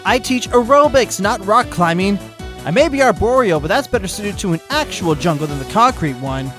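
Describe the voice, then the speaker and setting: A smug, taunting aerobics instructor, voiced by a man, who stretches out his mockery and swings his pitch around. Music plays clearly behind this fan audiobook reading. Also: lively intonation, fairly bright tone. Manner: taunting, drawn-out mocking delivery. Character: smug, cocky male sloth-like aerobics instructor defendant.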